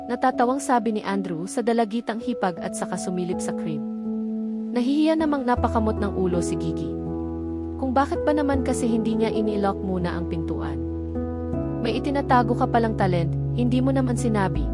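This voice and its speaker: Woman narrating a story over loud music. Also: medium pitch. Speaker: audiobook narrator. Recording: music playing behind the voice. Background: background music.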